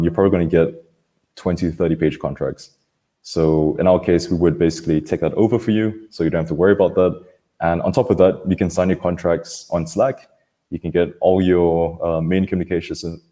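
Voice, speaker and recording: Male webinar host, very low and relaxed voice, informal live presenting. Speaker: host of an online panel webinar. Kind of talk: casual presenting on a live video call. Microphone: computer video call.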